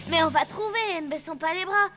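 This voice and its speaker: A plucky cartoon schoolboy with a high voice. He is earnest, determined and expressive, with clear music playing behind him. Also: a little fast. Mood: resolute. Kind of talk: cartoon voice acting.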